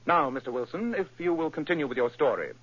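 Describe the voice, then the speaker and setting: A cool, brilliant detective who lectures in a dry, precise voice in a vintage radio play. His pitch sits fairly high and moves a lot, with a husky grain. The recording is dull and lo-fi. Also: lively intonation, fast talker, fairly bright tone. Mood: deducing. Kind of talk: old-time radio drama.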